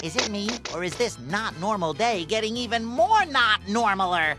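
An actor voicing a hyperactive, childlike, goofy cartoon sponge superhero in a video game. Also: female voice, medium pitch, moderate pace, over music, lightly acted. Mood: puzzled. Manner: excitable, goofy, exclamatory.

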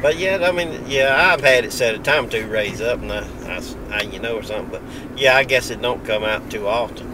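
An older man trades dry, teasing jokes from a car's passenger seat, laughing often. His voice sits at an ordinary pitch and sounds slightly distant from the dashboard camera. Clear road and engine noise runs underneath. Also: fairly quick. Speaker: co-host. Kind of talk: casual banter in a car. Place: car interior, passenger seat.

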